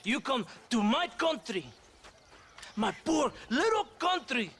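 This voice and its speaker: An actor playing a volatile, mocking soldier in a video game, with a Mexican accent and a theatrical, menacing delivery. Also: male voice, very high voice, sing-song, takes it slowly, fairly bright tone, husky, full character voice. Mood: taunting, indignant. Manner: taunting, theatrical. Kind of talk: video game character acting.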